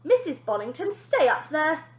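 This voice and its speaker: A woman voicing a strict, smug television nanny who sneers and speaks with cold mock sweetness and dramatic pauses, in an echoey room. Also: high voice, big pitch swings, a bit bright, pitched above the performer's own voice, exaggerated voice. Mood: commanding.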